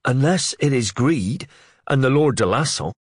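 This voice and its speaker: A man's voice: medium pitch, animated, moderate pace, husky, dry recording, studio quality, voice pushed up. A man voicing an inexperienced young deputy who makes wry, joking asides. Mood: earnest. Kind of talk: audiobook character voice.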